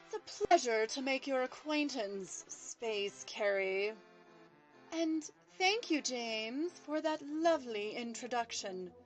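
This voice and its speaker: A woman playing a haughty, commanding cyborg clown queen in a comic sci-fi radio play, with theatrical, drawn-out delivery. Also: on the high side, lively intonation, over music. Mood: smugly gracious.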